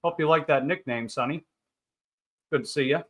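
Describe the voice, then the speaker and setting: A man's voice: on the high side, normal speed. A thirty-year-old man hosting a casual livestream, rambling along in upbeat banter as he chats with viewers. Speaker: livestream host. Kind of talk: casual livestream chat, reading and answering viewer comments.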